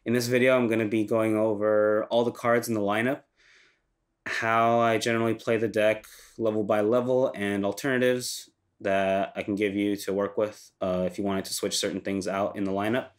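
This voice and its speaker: A man's voice: lowish voice, flat delivery, moderate pace. A young man with a stuffy, congested voice from a cold, hosting a casual walkthrough and talking loosely with ums and self-corrections. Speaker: channel host reviewing a card game deck.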